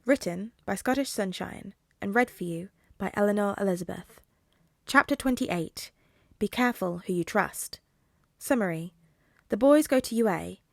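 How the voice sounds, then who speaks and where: A woman's voice: medium pitch, animated, normal speed, gravelly, dry recording, clean studio sound. A woman reading a scripted story aloud as its narrator. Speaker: podfic narrator.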